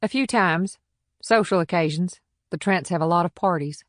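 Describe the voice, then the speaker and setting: A woman plays a small-town policewoman in a thriller audiobook. Her voice is low, frank and composed, with matter-of-fact delivery and a dry, close sound. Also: moderate pace, no room sound, close to an everyday voice. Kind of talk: audiobook character dialogue.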